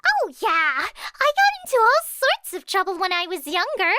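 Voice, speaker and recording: A woman voicing an excitable, dramatic teenage schoolgirl in a story. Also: very high-pitched, moderate pace, no room sound, studio quality, pitched above the performer's own voice, heavily acted. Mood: cheerful. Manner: exclaiming, dramatic. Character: excitable, bubbly, whiny teenage girl student.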